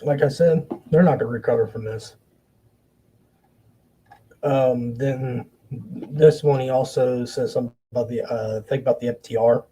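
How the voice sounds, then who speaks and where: A man hosting a wrestling podcast, giving blunt, profane opinions in casual commentary, with halting restarts. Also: mid-range voice, mellow, husky, rough recording.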